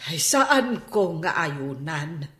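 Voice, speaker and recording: A woman's voice: deep voice, sing-song, drawn-out words, fairly bright tone, heavily acted. An actress playing a protective, reproachful mother who stands up to her husband in a radio drama. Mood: defensive, pleading. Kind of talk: Filipino radio drama acting. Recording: echoey radio drama studio recording.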